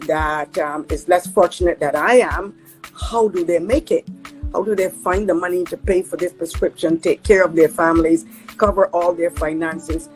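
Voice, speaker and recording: A woman's voice: lowish voice, fairly even intonation, moderate pace, darkish tone, faint music behind. Earnest Barbadian woman telling her story. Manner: earnest, reflective storytelling with hesitant fillers. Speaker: nonprofit co-founder. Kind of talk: personal testimony.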